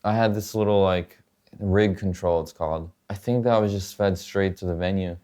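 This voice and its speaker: A man's voice: low voice, normal speed, darkish tone. A man chatting casually and demonstrating gear in a laid-back, colloquial way in a home studio. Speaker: guitarist and producer, interview guest.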